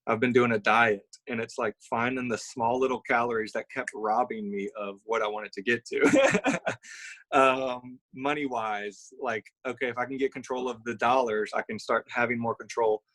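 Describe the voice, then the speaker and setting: A young man from Louisiana, speaking as a musician guest in a casual live-streamed interview. He talks in a loose, friendly, rambling way, full of little restarts. His voice sits in a plain middle pitch. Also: moderate pace. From Baton Rouge, Louisiana. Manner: relaxed, affable, loose and rambling.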